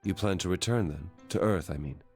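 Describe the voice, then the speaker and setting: Actor as a low-voiced, puzzled, questioning young man. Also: fairly quick, a little mellow, lightly acted. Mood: calm, inquiring. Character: puzzled, soft-spoken man just woken, doubting who he is. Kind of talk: video game voice acting. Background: game music.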